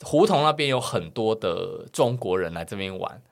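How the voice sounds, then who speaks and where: A man's voice: lowish voice, expressive, normal speed, gravelly. A man in his thirties with a Taiwanese Mandarin accent, chatting candidly and crudely as a podcast guest telling personal stories. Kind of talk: casual chat, personal storytelling.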